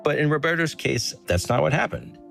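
A man working as a reporter, explaining a story in a measured, conversational news podcast style. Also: a little high, sing-song, creaky, a bit bright, faint music behind. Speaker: reporter telling an investigated story to a host.

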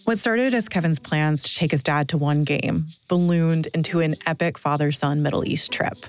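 A woman's voice: sits low, normal speed, faint music behind. A woman, narrating a scripted podcast story as host and reporter.

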